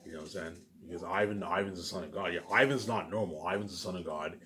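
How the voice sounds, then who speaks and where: A man's voice: low voice, quick pace, fairly bright tone. A man giving a rambling, casual monologue as a video maker, insistent, boastful and often repeating himself. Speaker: video maker talking to viewers.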